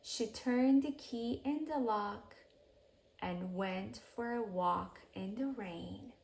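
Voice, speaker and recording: A woman narrating a children's storybook aloud in an expressive bedtime-story style, with measured phrasing and softly hushed sentence endings. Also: medium pitch.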